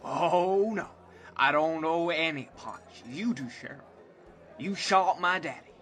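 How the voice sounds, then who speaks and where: An actor playing a defiant, hot-headed young cowboy, an outlaw's son, in a western radio play. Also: male voice, fairly high pitch, a little slow, fairly bright tone. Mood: defiant, angry.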